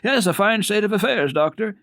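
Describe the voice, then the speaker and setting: A man voicing a commanding, wry gentleman who is master of the house in a storybook reading. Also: high voice, animated, brisk, creaky, no room sound, pitched above the performer's own voice, full character voice.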